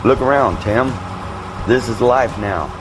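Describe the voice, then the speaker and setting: A man's voice: medium pitch, measured pace, music in the background, natural voice, low-quality recording. A man acting in an audio drama as a hardened, pragmatic fighter with a blunt, matter-of-fact manner. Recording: clear engine and vehicle noise behind.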